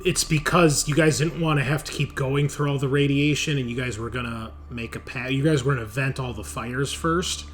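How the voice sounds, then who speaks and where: A man's voice: mid-range voice, steady tone, normal speed, few pauses, slight background noise. A man running a tabletop roleplaying game as game master, narrating and prompting the players conversationally.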